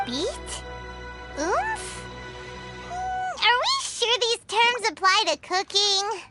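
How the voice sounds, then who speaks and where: A woman voicing a tiny, cheeky, excitable fairy companion with a squeaky childlike voice in a video game. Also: extremely slow, full character voice. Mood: puzzled, musing. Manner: speaks of herself in third person, exclaiming. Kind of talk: animated video game voice acting. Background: game music.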